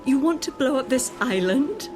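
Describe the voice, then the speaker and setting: An actress playing an exasperated, sarcastic middle-aged wife in a radio drama. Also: female voice, sits high, expressive, moderate pace, over music. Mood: fed up.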